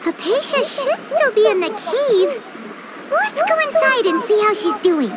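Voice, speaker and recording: Squeaky, extremely high-pitched little fairy companion. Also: female voice, big pitch swings, unhurried, heavy background noise, full character voice. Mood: eager. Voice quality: squeaky, childlike. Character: tiny childlike floating fairy companion, chatty and outspoken.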